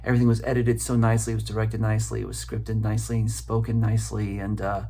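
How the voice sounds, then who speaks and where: A man giving casual spoken feedback on a film as an audience member, halting as he searches for words. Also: fairly low pitch, monotone, warm tone, a little hiss or hum.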